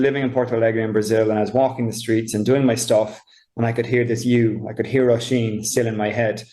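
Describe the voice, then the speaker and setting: A man's voice: mid-range voice, a little mellow, reverberant. A man with an Irish accent, a novelist speaking reflectively in an interview, often searching for his words. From Ireland. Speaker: novelist guest. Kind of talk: conversational author interview.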